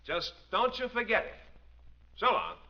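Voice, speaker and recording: An actor playing a mocking, menacing archer in a 1940s radio drama, sarcastic and threatening. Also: male voice, high-pitched, huge pitch swings, unhurried, full character voice. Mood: sneering. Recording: hissy lo-fi old radio recording.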